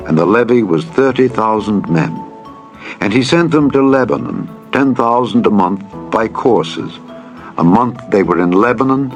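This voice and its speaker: A man reading scripture as a narrator, in a solemn, measured and deliberate dramatized style. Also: fairly low pitch. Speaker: narrator, Bible reader. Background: background music.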